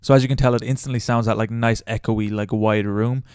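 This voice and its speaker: A man's voice: on the low side, steady tone, brisk, a little mellow, clear, resonant tone, dry recording, studio quality. A young man hosting a casual step-by-step tutorial as a voice-over. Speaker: tutorial host.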